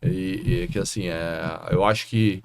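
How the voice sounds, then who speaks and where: A man's voice: deep voice, fairly even intonation, measured pace, very noisy. A young adult man, a carpenter guest, chatting in a laid-back, slangy way on a podcast. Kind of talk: casual podcast chat.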